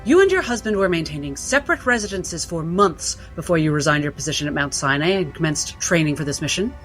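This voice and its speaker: A woman's voice: deep voice, lively intonation, fast talker, over music. A woman playing a coldly probing interrogator in a 1960s-set drama, formal, pointed and pressing. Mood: accusing. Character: relentless, coldly probing female interrogator questioning a doctor. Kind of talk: 1960s cinematic drama acting.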